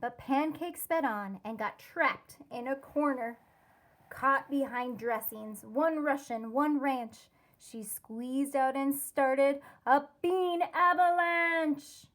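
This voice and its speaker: A woman teacher reading a rhyming children's story aloud, expressively and with deliberate, careful wording. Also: high-pitched, a bit bright.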